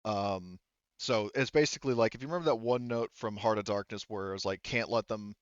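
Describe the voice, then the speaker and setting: A man acting as game master, narrating a tabletop roleplaying game in a casual, halting way as he pieces descriptions together. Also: lowish voice, smooth, dry recording, highs cut off.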